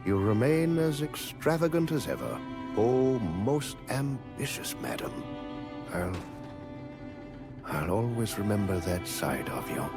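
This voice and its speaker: An actor playing a genteel, devoted manservant in a fantasy game, with formal, courtly, theatrical phrasing. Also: male voice, lowish voice, very animated, takes it slowly, music in the background, heavily acted. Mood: wry, teasing. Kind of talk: video game character dialogue.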